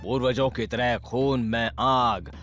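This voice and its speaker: An actor playing a solemn, commanding village wizard who instructs like a grand teacher in a cartoon dub. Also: male voice, medium pitch, steady tone, slow pace, fairly bright tone, soft background music. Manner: grand, instructive, addresses others as children.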